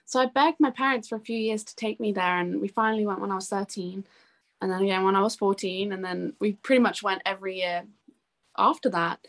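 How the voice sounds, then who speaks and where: A woman's voice: medium pitch, a little fast, fairly bright tone. A young woman chatting casually and enthusiastically as a musician being interviewed. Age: young adult.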